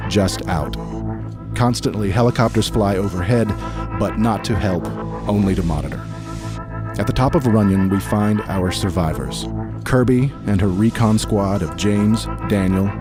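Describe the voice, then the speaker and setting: A man narrating a post-apocalyptic radio drama in a measured, grave, scripted delivery. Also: on the low side. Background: music.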